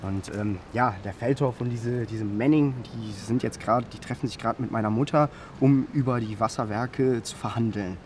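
An actor playing an earnest, halting young activist being interviewed in a radio drama. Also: male voice, mid-range voice, a little mellow, a little hiss or hum, natural voice. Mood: earnest, hesitant.

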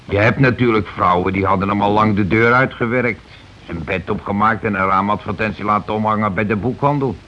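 An actor in a Dutch radio play playing a middle-aged, self-important working-class husband who complains and blusters sarcastically. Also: male voice, on the low side, brisk, hardly any pauses, pushed and bright. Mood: needling. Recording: muffled, hissy old radio recording.

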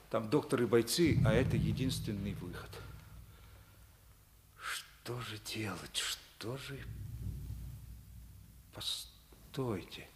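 Animated, scheming army lieutenant. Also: male voice, mid-range voice. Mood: anxious, plotting. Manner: conspiratorial, urgent. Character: scheming Soviet senior lieutenant, secretly a German agent. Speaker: actor. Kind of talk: 1950s Soviet stage drama acting. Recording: vintage radio recording, faint background noise.

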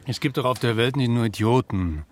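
An actor playing a sober, tactful civil servant in a radio drama, reasoning in a measured, calmly sceptical way. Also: male voice, mid-range voice, lightly acted.

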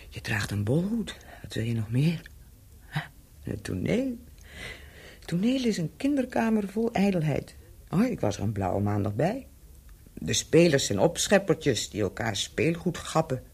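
A woman's voice: very deep voice, very animated, unhurried, pauses often, darkish tone, slightly rough, full character voice. A woman acting a worldly, mocking hotel owner in a radio play, teasing and sardonic in a theatrical way.

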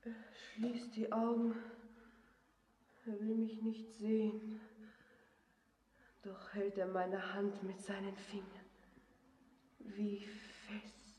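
Radio drama: frightened young woman, tender, unhurried, very echoey. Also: medium pitch, monotone, dark tone, slight background noise. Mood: hushed concern. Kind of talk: classic German radio drama acting. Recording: echoing cavernous space, far from mic.

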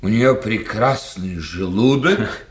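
An actor playing a witty, sceptical society doctor in a radio play, gossiping in a wry, theatrical way. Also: male voice, on the low side, animated, slow. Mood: gossipy, amused. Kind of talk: radio drama acting.

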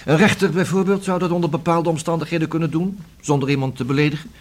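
An actor playing a persistent, ironic police detective in a radio play, speaking formally and unflappably. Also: male voice, on the high side, fairly even intonation, quick pace, lightly acted. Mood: sardonic.

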